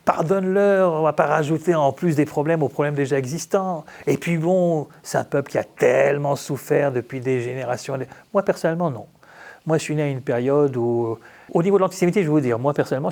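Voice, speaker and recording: Creaky-voiced man giving an informal religious talk. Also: on the high side, darkish tone. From France. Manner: conversational, humorous, theatrical storytelling. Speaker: rabbi teaching. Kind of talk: informal religious talk with rhetorical questions and acted-out dialogue.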